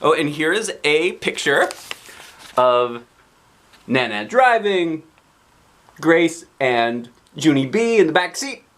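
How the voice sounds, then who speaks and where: A man reading a children's book aloud as a dad, with playful, expressive, theatrical delivery. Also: a little high, takes it slowly, pauses often. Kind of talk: animated children's storybook narration.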